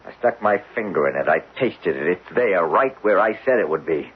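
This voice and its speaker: An American actor in a 1970s radio drama, playing an earnest, desperate geologist who pleads insistently in a husky voice. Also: male voice, low-pitched, expressive, moderate pace, full character voice. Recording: muffled lo-fi 1970s radio recording.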